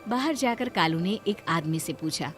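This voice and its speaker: A woman's voice: lowish voice, expressive, moderate pace, faint music behind. A woman narrator reading a scripted moral story.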